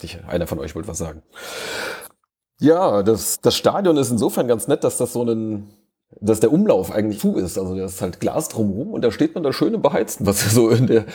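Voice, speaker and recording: A man hosts a casual fan podcast over a remote call. He talks in a chatty, joking way and often restarts his sentences. His voice is mellow and somewhat husky. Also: medium pitch. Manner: chatty, self-interrupting, joking. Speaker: podcast host.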